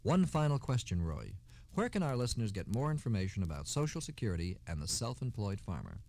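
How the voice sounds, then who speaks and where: A man's voice: lowish voice, very animated, brisk, creaky, a little mellow. A man hosting a scripted 1960s radio program. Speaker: radio show host.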